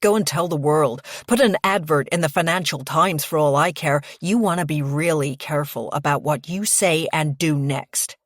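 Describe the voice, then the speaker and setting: A woman's voice: a bass voice, moderate pace, creaky, few pauses, dry recording, studio quality, pitched below the performer's own voice, heavily acted. A woman voicing a curt, protective, angry young man in an audiobook, blunt and snapping.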